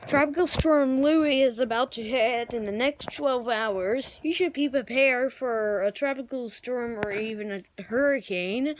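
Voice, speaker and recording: A woman improvising mock emergency news and weather bulletins in a halting, stumbling amateur announcer delivery. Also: a little high, a little hiss or hum, rough recording. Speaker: amateur video creator playing broadcast announcer.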